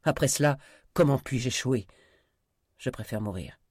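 Guy doing audiobook villain, desperate and bossy, hot tempered, kinda husky, dry sound. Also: mid-range voice, animated, brisk, a little mellow, no room sound. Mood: defiant, desperate. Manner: brutal, commanding, hot-tempered. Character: desperate, defiant man threatening with a deadly virus spray.

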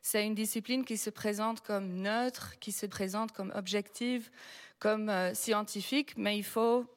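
A woman who is not a native French speaker, a researcher speaking as a panel guest, explaining at a measured pace with frequent hesitations. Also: mid-range voice, fairly even intonation. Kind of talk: public panel talk. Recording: long hall reverb.

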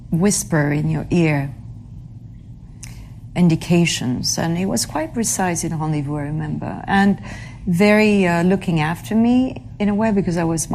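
Low-voiced woman, unhurried and searching for words. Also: mellow, a little hiss or hum. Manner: halting, searches for English words, many fillers. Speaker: actress being interviewed. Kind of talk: reflective interview answers.

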